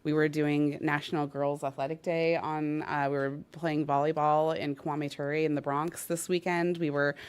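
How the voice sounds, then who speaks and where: A woman's voice: deep voice, flat delivery, moderate pace, few pauses, clean tone. A woman in her thirties from New York giving an earnest public speech as a parks official, with many fillers and restarts.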